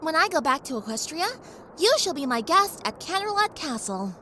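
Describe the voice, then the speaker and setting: A woman's voice: high voice, sing-song, normal speed, sharp tone, slight background noise, exaggerated voice. A woman playing a young pony princess in an audio drama, polite, playful and earnest, with a friendly, slightly grand manner. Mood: grand, gracious. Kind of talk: audio drama character acting. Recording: faint background ambience.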